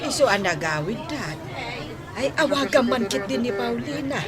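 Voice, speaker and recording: A woman's voice: on the high side, sing-song, normal speed. A woman playing an older, gossipy aunt in an Ilocano-accented radio drama. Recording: busy outdoor market crowd noise.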